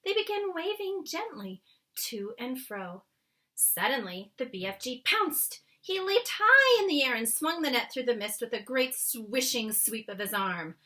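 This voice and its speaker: A woman reading a children's book aloud as narrator, with dramatic, expressive phrasing. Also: high voice, measured pace. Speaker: storybook reader.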